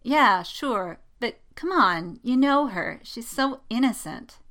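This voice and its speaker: A woman's voice: fairly high pitch, lively intonation, slow pace, fairly bright tone, pitched above the performer's own voice, full character voice. A woman voicing an anxious, lovestruck young female pilot in a story, sighing and whining in exasperation. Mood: lamenting. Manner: sighing, lamenting, whining, easily flustered. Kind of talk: dramatic fan fiction reading.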